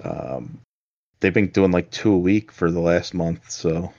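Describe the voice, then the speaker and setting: A man's voice: sits low, a little slow, darkish tone, dull audio. A man in his forties co-hosting a casual podcast chat, rambling and self-deprecating with frequent laughs. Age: 40s. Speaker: podcast co-host.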